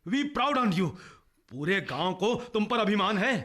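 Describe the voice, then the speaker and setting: Radio comedy-relief type: effusive villager, high, sharp tone, mangled English phrases. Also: male voice, animated, slow pace, full character voice. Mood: effusive pride. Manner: effusive, mixes in broken English. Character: exuberant village man showing off broken English. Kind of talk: Hindi radio drama acting.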